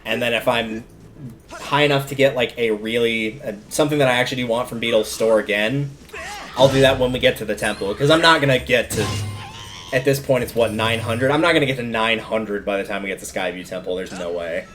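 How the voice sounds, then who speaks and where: A man's voice: medium pitch, steady tone, fairly quick, a bit bright. Male game streamer, mid-range voice, casual rambling explainer style, light music underneath. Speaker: livestreamer. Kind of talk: casual video game livestream commentary.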